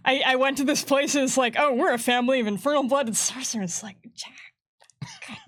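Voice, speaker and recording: A woman chatting casually about tabletop game rules, laughing often and acting out quick voices. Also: sits high, a bit bright. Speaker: game design staff co-host.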